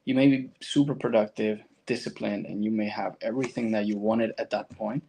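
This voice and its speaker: A man's voice: on the low side, fairly even intonation, brisk, vocal fry, dark tone, breathy edge, low-quality recording. A man from Argentina with a Latin American accent and Spanish as his first language, speaking as a guest and telling his personal story reflectively in conversation.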